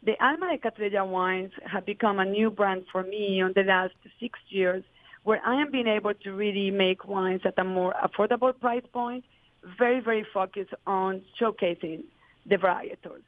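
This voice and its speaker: A woman's voice: mid-range voice, moderate pace, dry recording. Woman winemaker, earnest guest, dry thin phone-like audio. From Colombia. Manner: earnest, flowing explanations with many fillers. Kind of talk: interview answers.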